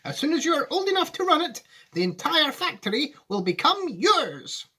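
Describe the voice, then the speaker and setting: Storybook eccentric old man, played by a male reader: commanding, excitable, raised pitch, very animated. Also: moderate pace, exaggerated voice. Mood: delighted, solemn. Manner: emphatic, exclamatory. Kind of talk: storybook read aloud.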